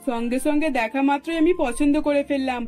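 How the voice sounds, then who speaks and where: A woman's voice: high-pitched, fast, warm tone, faint music behind, natural voice. A woman voicing an eager, decisive middle-aged mother-in-law in a cartoon, with an emphatic, matronly manner. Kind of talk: scripted cartoon dialogue.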